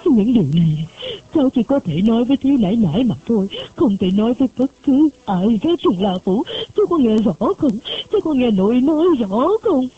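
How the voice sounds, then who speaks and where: A woman's voice: on the high side, expressive, a little fast, husky, voice pushed up. A woman voicing a sick, anxious elderly grandmother who gives insistent, urgent instructions in a storybook reading. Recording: tinny phone-like recording.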